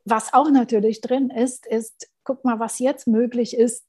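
Woman with a slightly high voice, chatty guest telling travel stories, lots of ums. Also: measured pace, husky. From Germany. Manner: lively storytelling with many fillers and restarts. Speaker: guest, school founder. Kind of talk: casual interview conversation.

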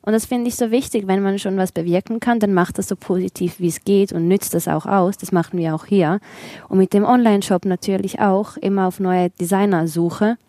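A woman co-hosting a podcast, speaking as a non-native speaker. Her delivery is lively and earnest, with English words slipping in. The recording is dry and close. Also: mid-range voice, fairly quick, dry recording. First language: not German. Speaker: podcast co-host. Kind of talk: casual chat.

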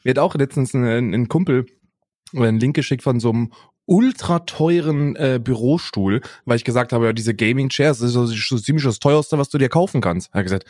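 A man's voice: medium pitch, fairly quick, darkish tone, no echo. A man co-hosting a podcast in casual, slangy, jokey banter, recorded close to the microphone. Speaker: podcast co-host.

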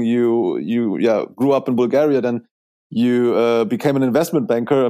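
Mellow-voiced male podcast host, hesitant. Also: mid-range voice. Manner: hesitant, many restarts. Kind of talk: casual interview.

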